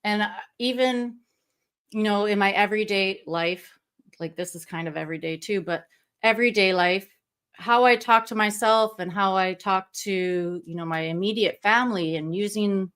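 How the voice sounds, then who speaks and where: A woman's voice: mid-range voice, moderate pace, fairly bright tone, smooth. A woman hosting a livestream interview, enthusiastic and reflective in casual talk over a video call. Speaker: stream host.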